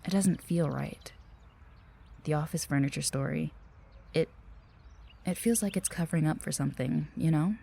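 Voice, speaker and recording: A woman's voice: deep voice, normal speed, gravelly, long pauses, dark tone, husky, full character voice. A woman playing a friendly, earnest and cautious office receptionist in an audio roleplay, speaking politely and conversationally with some hesitation. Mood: hesitant, secretive.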